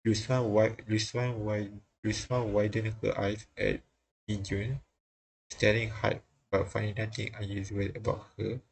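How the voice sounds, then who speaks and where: A man reading visual novel dialogue and narration aloud on a live stream, halting and repeating lines as he goes. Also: on the low side, little pitch movement, gravelly, low-quality recording. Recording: music playing behind the voice. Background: game music.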